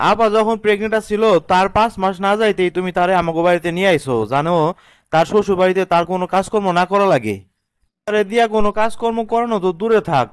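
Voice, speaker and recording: A man's voice: high voice, smooth, no room sound, close to an everyday voice. A man playing an earnest, protective young husband in a cartoon, reasoning and lecturing in a colloquial Bangladeshi accent. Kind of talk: cartoon drama dialogue.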